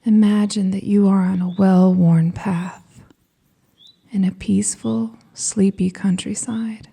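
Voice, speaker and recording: A woman with a soft, breathy, whispery voice guiding a scripted meditation in a soothing, gentle manner with deliberate pauses. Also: medium pitch, steady tone. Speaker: hypnosis and meditation guide.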